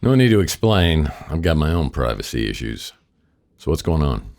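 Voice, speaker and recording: A man playing a world-weary, wisecracking private detective in a noir radio drama, with a wry deadpan delivery. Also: rumbling low, normal speed, long reverb tail, full character voice. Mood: dry, businesslike. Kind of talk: noir radio drama, first-person narration and dialogue.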